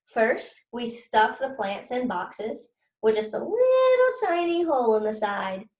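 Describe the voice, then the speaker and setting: A woman's voice: on the high side, big pitch swings, unhurried, a little mellow, roomy sound. A woman voicing a cocky, mischievous schoolboy narrator in a children's storybook, boastful and informal. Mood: enthusiastic.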